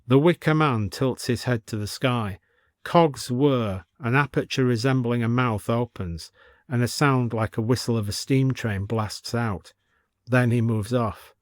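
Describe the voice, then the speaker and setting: A British man narrates a scripted story. His voice is medium in pitch and recorded very dry, with no room around it. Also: a little slow, dry recording.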